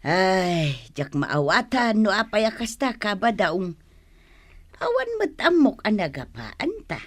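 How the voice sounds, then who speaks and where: A woman's voice: medium pitch, huge pitch swings, takes it slowly, no echo, exaggerated voice. A woman playing a concerned, talkative village wife in a comedy radio drama.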